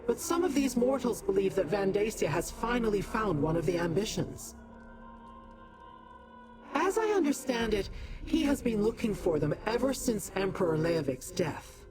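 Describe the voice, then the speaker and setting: A haughty demon woman from a fantasy game, acted by a woman. She talks down to mortals with sardonic, theatrical relish. Her pitch moves widely, and loud music plays behind her. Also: sing-song, moderate pace, natural voice. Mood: cool, conspiratorial. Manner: condescending, drawling, theatrical. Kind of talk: scripted video game dialogue.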